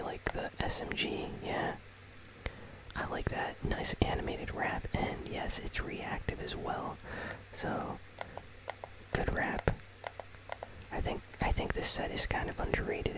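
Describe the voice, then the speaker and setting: Hushed ASMR whisperer hosting gaming commentary. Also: rough recording. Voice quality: breathy, unvoiced whisper. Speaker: host of a gaming channel. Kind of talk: ASMR whispered commentary on a game item shop.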